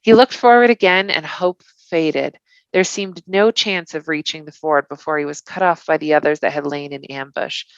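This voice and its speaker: Female storybook narrator, slightly low voice, expressive dramatic phrasing, dry and slightly dull recording. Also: clear, resonant tone, no echo. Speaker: volunteer storybook reader. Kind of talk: scripted narration, bedtime novel reading.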